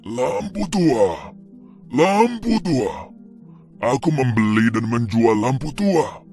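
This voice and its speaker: A man plays a sly storybook peddler. He hawks his wares in long, slow, drawn-out cries, pitched low, over faint music. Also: sing-song, darkish tone. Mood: calling out. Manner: drawn-out street-vendor cry.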